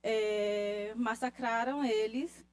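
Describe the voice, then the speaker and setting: High-voiced, slow, halting woman, non-native Portuguese. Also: steady tone, clear, resonant tone. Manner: halting, searching for words. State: nervous. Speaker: singer presenting a song of their people. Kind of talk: informal spoken introduction to a live audience.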